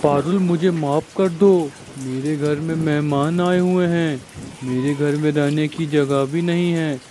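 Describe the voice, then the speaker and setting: A man's voice: a little high, steady tone, slow, dark tone. A man voicing a brotherly but self-serving crow in a cartoon story, conversational, with rain noise behind him. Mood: apologetic, refusing. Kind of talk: dramatized Hindi children's cartoon story.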